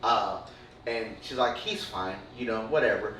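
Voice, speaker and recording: A man's voice: fairly low pitch, a little slow, bright, forceful tone. A man telling long rambling stories in casual chat, full of fillers and swearing. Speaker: podcast co-host. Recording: roomy, distant mic, faint room noise.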